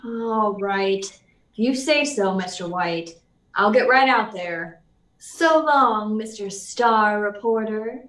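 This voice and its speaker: An actress playing a brash, sarcastic young newspaper reporter in a 1940s-style radio drama, needling and skeptical, in a roomy distant recording. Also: female voice, mid-range voice, expressive, takes it slowly, a bit bright. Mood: dry, grudging. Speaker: actor in a live radio play. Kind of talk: 1940s radio serial acting. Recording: roomy, echoing, off the mic.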